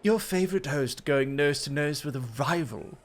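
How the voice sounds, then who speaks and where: A man's voice: on the high side, lively intonation, normal speed. A man playing a flirty, teasing club host and rival, theatrically polite and suggestive, in an ASMR roleplay. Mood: teasing, confiding.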